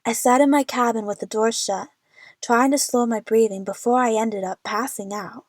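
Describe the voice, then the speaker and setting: A woman's voice: fairly high pitch, fairly even intonation, normal speed, dry recording, studio quality, close to an everyday voice. A woman playing an anxious, lovestruck young woman who narrates her adventure earnestly in an audio drama.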